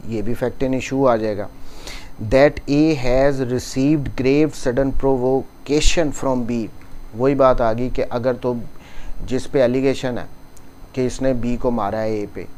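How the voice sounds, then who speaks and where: A Pakistani man lectures on law at a slow, deliberate pace in a mid-pitched voice. He reads out formal clauses in English, then explains them step by step as a teacher would. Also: darkish tone, rough recording. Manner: mixes English legal terms and slowly read passages into explanation.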